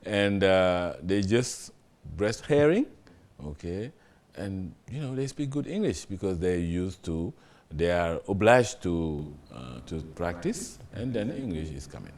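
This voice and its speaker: A middle-aged man with a Senegalese accent, a guest in an interview, answering in a relaxed, hesitant way with many fillers and small stories. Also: deep voice, unhurried. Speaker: interview guest, TV general manager.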